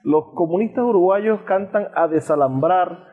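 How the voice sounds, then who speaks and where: A man's voice: high-pitched, moderate pace, slightly rough. A man hosting a radio interview. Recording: reverberant thin phone-like sound, faint music.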